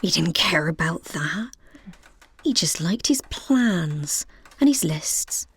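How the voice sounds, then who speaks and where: An actress playing a scatty, oddly matter-of-fact widow in a comic audio drama, rambling vaguely and earnestly. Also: female voice, on the low side, expressive, slow, breathy edge, heavily acted. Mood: wistful.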